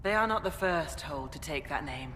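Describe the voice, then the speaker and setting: A stately chieftain, echoing hall. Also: female voice, low-pitched, animated, moderate pace, a bit bright. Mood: stately, welcoming. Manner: stately, formal pronouncements. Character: dignified female chieftain of a hill tribe, welcoming. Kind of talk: fantasy video game acting. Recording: echoey hall with background noise.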